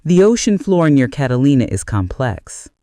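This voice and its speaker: A man narrating a scripted bedtime mystery story in a measured, deliberate way with dramatic pauses. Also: mid-range voice, big pitch swings, mellow, dry recording, studio quality.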